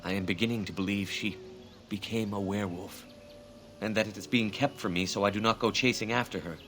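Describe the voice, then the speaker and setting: A solemn elven hunter from a fantasy game. The voice is deep and measured, with formal, earnest wording and a sorrowful weight, over faint background noise. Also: male voice, steady tone, sharp tone. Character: grave, grieving male elven hunter.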